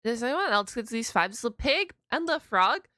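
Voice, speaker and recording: A woman's voice: on the high side, sing-song, normal speed, bright, forceful tone, no echo. A woman hosting a reaction video, commenting casually and off the cuff with short exclamations.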